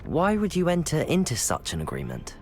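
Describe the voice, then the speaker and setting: An actor playing a polite, inquisitive young student adventurer in a video game, speaking in a measured, questioning way. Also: mid-range voice, huge pitch swings, a little mellow, faint music behind. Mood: composed. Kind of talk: video game dialogue acting.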